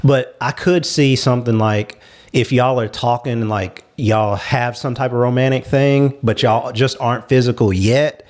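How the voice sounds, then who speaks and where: A man's voice: medium pitch, fairly even intonation, measured pace, a little mellow. A man in a casual panel discussion, talking in an explanatory, reasoned manner.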